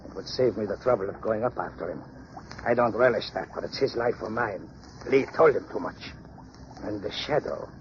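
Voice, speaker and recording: An actor playing a murderous, cowardly mad doctor in melodramatic old radio crime drama style. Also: male voice, fairly low pitch, moderate pace, lightly acted. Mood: sinister, brooding. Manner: melodramatic, raving. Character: murderous, crazed, cowardly villainous doctor, male. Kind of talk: 1930s-40s radio crime drama acting. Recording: noisy, dull old radio recording, crackly.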